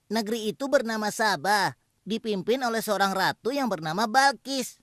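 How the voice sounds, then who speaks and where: A woman's voice: mid-range voice, moderate pace, a bit bright, no room sound, lightly acted. A woman voicing a humble, eager hoopoe bird messenger in a cartoon, speaking deferentially. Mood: reporting. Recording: dry with faint music.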